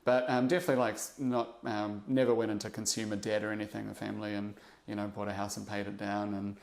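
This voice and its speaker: A young man from New Zealand with a New Zealand accent, a podcast guest talking in a reflective, halting way with many fillers and restarts. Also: fairly low pitch, level tone, gravelly, a little mellow. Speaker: interview guest, investor. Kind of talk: casual podcast interview.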